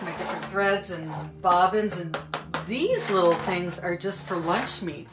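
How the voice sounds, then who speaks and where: A woman's voice: medium pitch, roomy sound. A woman in her fifties giving a casual, chatty tour of her home sewing room, with many ums and you-knows. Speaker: vlog co-host showing her sewing room.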